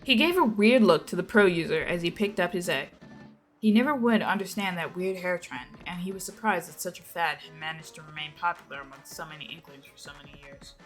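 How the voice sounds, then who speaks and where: A woman's voice: lowish voice, animated, brisk, fairly bright tone, soft background music, rough recording. A woman reading a scripted action story aloud as a dramatic narration. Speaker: narrator of a fan fiction reading.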